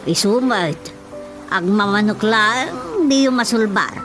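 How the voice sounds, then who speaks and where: A woman's voice: medium pitch, sing-song, slow pace. A woman with a Filipino accent playing a nagging, gossipy chairman's wife in a radio drama, pressing and scolding. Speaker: actor. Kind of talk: Ilocano radio drama comedy acting. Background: music.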